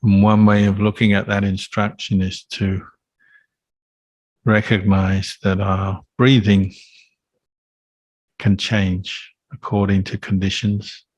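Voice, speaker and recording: A man leading a guided meditation as a Buddhist teacher, calm and unhurried, leaving gentle pauses between phrases. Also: fairly low pitch, dry recording, muffled recording.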